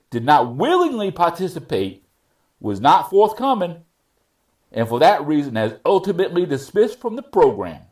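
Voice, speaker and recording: Guy acting as a judge reading out a formal ruling, kinda higher voice, very up and down, deliberate legal talk. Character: male judge ruling at a probation revocation hearing. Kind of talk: courtroom readers theater reading.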